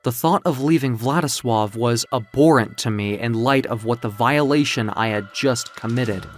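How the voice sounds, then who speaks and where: A narrator telling a dramatic story in a measured, literary, theatrical way, as in an audio comic book. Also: mid-range voice, soft background music.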